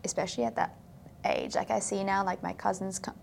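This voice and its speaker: A woman's voice: medium pitch, wildly swooping pitch, a little fast, vocal fry, darkish tone, slight background noise. A young woman from South Africa, chatting casually as a podcast guest and filling her talk with "like". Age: young adult. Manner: chatty, filler-heavy, frequent "like". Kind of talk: casual interview chat.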